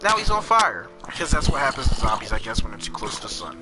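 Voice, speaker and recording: A man's voice: on the low side, sing-song, fast. A man from New Jersey with a New Jersey accent, rambling casually as he narrates his own gameplay and chats with viewers. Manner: rambling, chatty, talks to chat while playing. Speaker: gamer streamer. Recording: game music behind voice.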